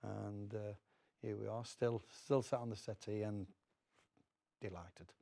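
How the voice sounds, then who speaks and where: A Northern English man in middle age speaks in a fairly deep voice with plenty of gravel. He reminisces casually as a studio guest, repeating words as he searches for the next one. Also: steady tone, unhurried, warm tone. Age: middle-aged. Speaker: former footballer, studio guest.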